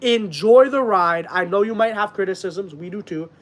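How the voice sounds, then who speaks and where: Young guy host, high pitched, congested voice, earnest rambling stream chat. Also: normal speed. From Canada. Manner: earnest, rambling, many fillers. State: stuffed up. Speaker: wrestling channel host. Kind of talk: casual live-stream chat.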